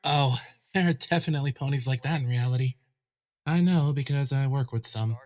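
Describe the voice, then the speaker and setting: Guy doing young pony dad-to-be in a fanfic drama, medium pitch, practical and earnest. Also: brisk, a little mellow, lightly acted. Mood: wry. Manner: earnest, defensive. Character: young pony husband, expectant father, practical, placating. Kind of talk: fan fiction audio drama.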